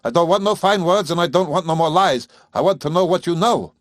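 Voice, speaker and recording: A man voicing a gruff, bullying old farmer with a whining, rough country dialect. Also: fairly high pitch, fairly quick, dry recording, studio quality, voice pushed up, lightly acted. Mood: angry, sneering.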